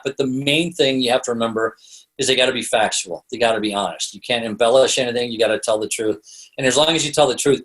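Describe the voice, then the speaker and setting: A North American man talks as a guest expert in a casual interview. His pitch sits in an ordinary male range, and he explains in a loose, conversational way. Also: low-quality recording. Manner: conversational, explains through hypothetical examples and quoted lines. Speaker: interview guest, author and storytelling consultant.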